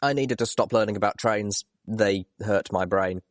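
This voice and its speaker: Low-voiced man reading a story. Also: normal speed, no echo, clean studio sound. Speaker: audiobook reader. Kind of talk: expressive first-person story narration.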